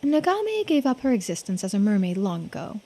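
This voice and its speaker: A woman's voice: medium pitch, sing-song, fairly quick, dark tone, lightly acted. A woman voicing a composed, courteous keeper of old lore in a video game, explaining things politely. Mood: knowing, composed. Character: calm, knowing, courteous storyteller of mermaid lore. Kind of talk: video game dialogue read aloud in character.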